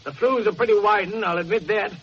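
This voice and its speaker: A man's voice: high voice, fast. An actor playing an older, teasing, self-assured amateur detective in a radio mystery, avuncular and commanding as he quizzes people. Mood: confident, teasing. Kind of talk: full-cast radio mystery drama acting. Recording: muffled noisy old radio recording.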